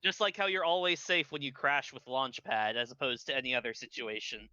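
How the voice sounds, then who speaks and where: A man's voice: mid-range voice, steady tone, fairly quick, sharp tone, clean tone, no echo, dull audio. A man chatting casually as a guest on a stream, over a voice call. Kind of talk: casual chat.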